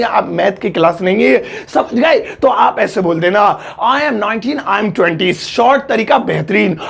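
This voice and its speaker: A man's voice: very high-pitched, creaky, a bit bright. A young man teaching English in Hindi, joking, teasing and laughing often. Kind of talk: playful Hindi English lesson.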